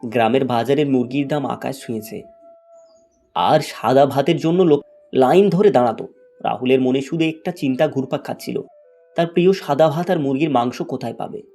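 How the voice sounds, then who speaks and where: A man's voice: mid-range voice, fairly quick, vocal fry, warm tone, soft background music. A man reading a scripted fairy tale as its storyteller. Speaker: storyteller, narrator.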